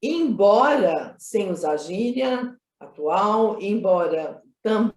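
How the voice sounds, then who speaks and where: Roomy echoey recording, woman, medium pitch, conversational interviewee, emphatic repetitions, frequent tag questions. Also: expressive, takes it slowly, a little mellow. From Minas Gerais, Brazil. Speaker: film director being interviewed. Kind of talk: conversational interview, explaining creative choices.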